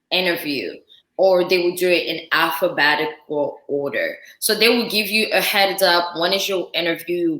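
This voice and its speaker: A woman speaks in a bright, slightly low voice. She tells stories in a lively way, laughing often, as a guest on a casual podcast. The sound is echoey, like a bare room. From Central Africa. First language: French. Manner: lively storytelling with frequent laughter. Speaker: guest chef. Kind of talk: casual chat.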